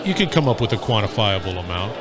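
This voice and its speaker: A man's voice: on the low side, very animated, fast talker, a crowd in the background. A male podcast host interviewing a guest on site at a conference, upbeat and emphatic. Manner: upbeat, emphatic sign-offs. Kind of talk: interview chat. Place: onsite at a user conference.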